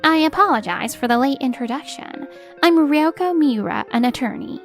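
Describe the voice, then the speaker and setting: A woman playing a composed, steely attorney in a manga dub, speaking formally and with measured assertiveness. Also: high voice, animated, faint music behind. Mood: formal, firm. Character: composed, steely female attorney confronting a husband. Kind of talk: acted manga dub dialogue.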